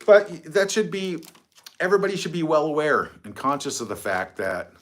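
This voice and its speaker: A man's voice: on the high side, normal speed. A middle-aged man hosting a casual livestream from his phone, rambling, mocking and swearing freely. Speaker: livestream host.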